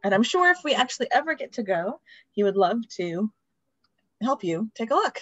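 A woman's voice: fairly high pitch, sing-song, moderate pace, fairly bright tone, no room sound. A woman in her thirties hosting an online event on a video call, speaking casually with hesitant fillers. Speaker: event host. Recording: dull video call audio.

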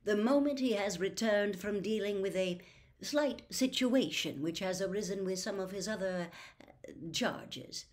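Storybook elderly wizard headmaster, voiced by a woman: gracious, formal, composed, unhurried. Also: mid-range voice, lively intonation, darkish tone. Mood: courteous, composed.

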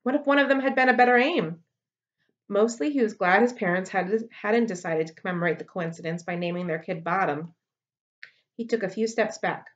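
Woman in her 30s, library read-aloud host, steady storybook narration, medium pitch, muffled lo-fi webcam mic. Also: fairly quick. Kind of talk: reading a children's novel aloud. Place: living room at home. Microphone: webcam on a desk.

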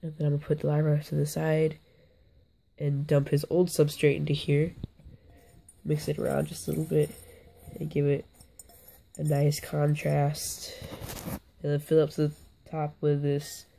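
A young man with a hoarse voice from being a little sick, casually rambling through a hands-on vlog from his floor, often stopping to correct himself. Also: a little high, completely flat delivery, unhurried, pauses often, warm tone, a little hiss or hum. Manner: rambling, self-interrupting, offhand. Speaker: bug-keeping vlogger. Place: indoors, on a carpeted floor.

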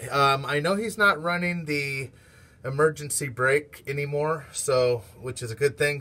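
A man in his thirties casually reviewing a power tool in his shop, relaxed and full of fillers. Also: medium pitch. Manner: relaxed, filler-heavy, practical shop talk. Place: under a lowered truck, then inside an auto shop.

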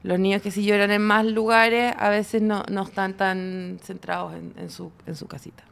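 A woman's voice: mid-range voice, flat delivery, moderate pace, creaky. A woman in her thirties with a Chilean accent, chatting and joking as a podcast guest at a studio mic in a small home studio. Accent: Chilean Spanish. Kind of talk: casual chat. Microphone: studio mic with headphones.

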